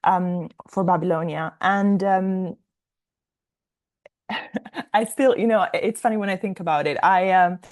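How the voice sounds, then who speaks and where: A young woman who grew up in Italy and speaks Italian as her first language, with a slightly low voice. She talks as an author being interviewed, thinking aloud and explaining her points in a conversational way. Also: unhurried, long pauses. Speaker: interview guest, novelist.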